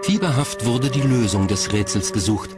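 A man's voice: medium pitch, expressive, normal speed, over loud music. A man reading scripted documentary narration as the narrator.

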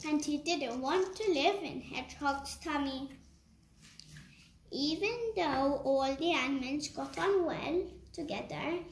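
High-voiced woman slowly telling a children's story. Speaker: storyteller and puppeteer. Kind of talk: scripted children's story narration with puppets. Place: room at home. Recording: echoey room with background noise.

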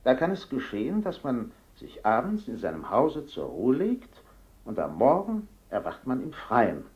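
An actor playing a male professor giving a formal, measured radio lecture in an old radio drama, his voice coming through a radio set. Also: medium pitch, huge pitch swings, a little mellow. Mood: lecturing. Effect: voice heard through a radio set. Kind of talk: 1950s radio drama acting. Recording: muffled old radio sound.

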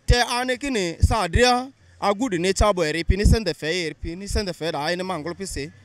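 Young man, fairly high voice. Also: fast, dry recording. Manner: earnest, explanatory, mostly in a local language. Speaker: interviewee. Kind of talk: street interview answers. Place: busy town street outdoors. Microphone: interviewer's handheld mic.

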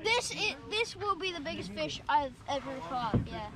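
A teenage boy at a fishing lodge, answering questions in shy, halting bits. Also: very high-pitched, lively intonation. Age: teens. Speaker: young angler guest.

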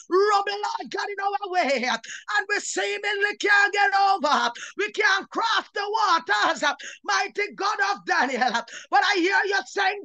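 A woman's voice: very high-pitched, sharp tone, no room sound. A woman minister leading a fervent Pentecostal prayer, tearful and impassioned, punctuating her declarations with short "uh"s and cries to God. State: tearful, crying.

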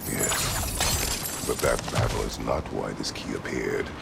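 An actor playing a stern, battle-weary warrior in a video game, speaking in terse, formal, commanding phrases. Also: male voice, extremely low pitch, steady tone, measured pace, creaky, heavy background noise, exaggerated voice. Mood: grave, guarded. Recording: loud game music behind.

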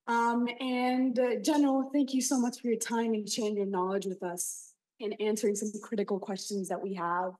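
A woman's voice: mid-range voice, steady tone, normal speed, few pauses, a little mellow, low-quality recording. A young woman from Pakistan asking a prepared question politely and eagerly. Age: young adult. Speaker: audience questioner.

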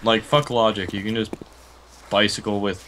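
A young man chatting casually while playing a zombie game over voice chat, griping deadpan with lots of "like". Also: on the low side, moderate pace, noisy background. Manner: deadpan griping, heavy filler "like". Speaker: co-player on voice chat.

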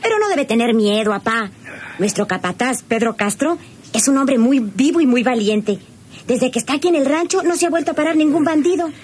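A woman's voice: sits high, animated, fairly quick, darkish tone. A woman playing a sweet, devoted young ranch daughter with a rural Mexican accent in a radio serial. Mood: gentle, reassuring. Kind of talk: old Spanish-language radio serial acting. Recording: noisy old radio drama recording.

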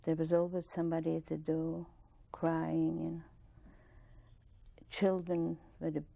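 Gravelly-voiced older Polish woman. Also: sits low, completely flat delivery, dull audio. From Poland. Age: 60s. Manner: measured, plain-spoken, with soft laughs and restarts. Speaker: interviewee, Holocaust survivor. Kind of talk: oral history interview. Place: studio with dark curtain backdrop. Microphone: lapel mic.

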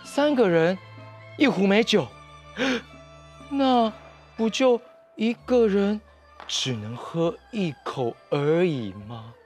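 Give a theatrical, eager storybook drinker played by a man, exclaiming excitably. The pitch is high and swings widely, over light music. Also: slow pace, long pauses. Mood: eager, dismayed.

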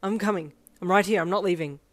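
A woman's voice: on the low side, fast talker, dry recording, natural voice. A woman voicing an earnest, courteous young man in an audio story, speaking with urgent, protective authority. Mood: urgent, reassuring. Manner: formal, polite, urgent.

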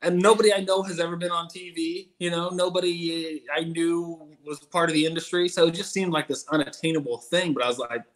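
A young man in a casual interview over a video call from home, telling his story in a relaxed way with many fillers. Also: a little high, brisk, hardly any pauses, poor audio. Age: young adult. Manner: laid-back, many "uh"s. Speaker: guest stuntman. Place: room at home.

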